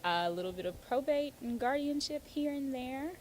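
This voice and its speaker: A woman's voice: sits high, animated, moderate pace, darkish tone, slight background noise. A woman from Houston, chatting as an interview guest with lots of "um" and "like". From Houston, Texas. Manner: chatty, uses many fillers like "um" and "like". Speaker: guest, attorney and author. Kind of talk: casual interview answers.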